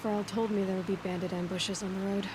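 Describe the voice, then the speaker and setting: An actress playing a determined, self-reliant young huntress in a video game, speaking in a direct, guarded, earnest way. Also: female voice, medium pitch, level tone, quick pace, noisy background, natural voice. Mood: wary, firm. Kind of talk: dramatic video game dialogue. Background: background music.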